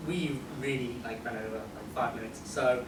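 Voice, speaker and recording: A man's voice: mid-range voice, steady tone, moderate pace. A young British man chatting informally on a panel in a jokey, self-deprecating way. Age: young adult. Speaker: convention panelist, amateur animator. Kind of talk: casual unscripted panel chat. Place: convention panel room, indoors. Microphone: distant camera microphone. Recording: echoey, noisy room, distant microphone.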